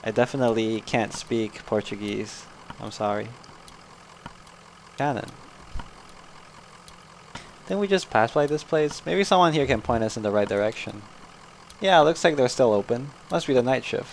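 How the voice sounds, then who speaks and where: A man's voice: mid-range voice, lively intonation, normal speed, gravelly, long pauses, a little hiss or hum. A man streaming a story game, chatting casually, laughing at his own jokes and reading game text aloud.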